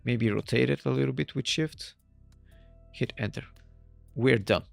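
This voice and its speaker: A man from Bulgaria, a native Bulgarian speaker, walking through a software tool step by step in a relaxed tutorial style with slightly non-native phrasing. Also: mid-range voice, a little slow, vocal fry, dry recording, faint music behind. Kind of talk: screen-recorded software tutorial.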